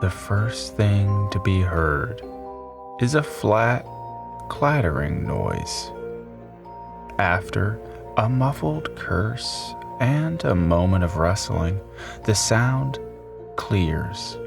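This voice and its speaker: A man reading scripted audiobook narration of his own story in a measured, deliberate way, as author and narrator. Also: fairly low pitch, sing-song, creaky. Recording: music behind the voice. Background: music.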